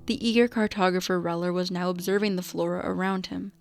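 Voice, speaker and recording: A woman's voice: on the low side, steady tone, brisk, vocal fry. A woman narrating a scripted radio drama, with music playing under her voice. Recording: loud music bed under voice.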